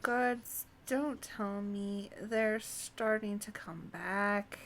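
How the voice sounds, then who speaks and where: A woman's voice: medium pitch, very slow, slight background noise. A woman voicing an anxious servant from a fantasy game, fretful and old-fashioned in phrasing. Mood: worried.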